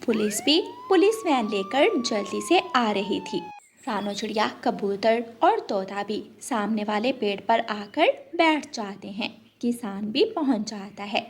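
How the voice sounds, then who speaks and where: Female children's story narrator: very high, animated, expressive scripted read. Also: measured pace, slight background noise.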